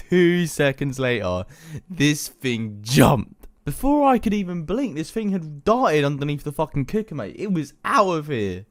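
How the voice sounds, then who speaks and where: A man's voice: a little high, no echo. A young British man telling comic anecdotes casually, in a slangy, sweary, matey way. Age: young adult. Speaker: YouTube storyteller.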